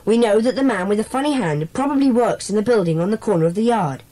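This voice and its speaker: A woman playing a bossy, sensible schoolboy leader in a children's audio drama, speaking in a brisk, decisive way. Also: medium pitch, expressive, dark tone, lightly acted. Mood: authoritative.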